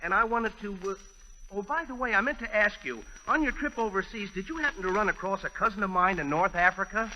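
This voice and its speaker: Piercingly bright, earnest Marine sergeant. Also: male voice, sits high, quick pace, big-room sound. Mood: rambling, earnest. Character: earnest, rambling Marine sergeant with a camp errand. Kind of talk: 1940s radio comedy acting. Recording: muffled, hissy 1940s radio recording.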